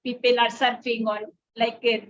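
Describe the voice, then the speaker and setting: A woman with an Indian accent hosting a conversational interview, commenting at length in halting, wordy phrases. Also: on the high side, flat delivery. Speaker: interview host, doctor. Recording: dull, lo-fi audio.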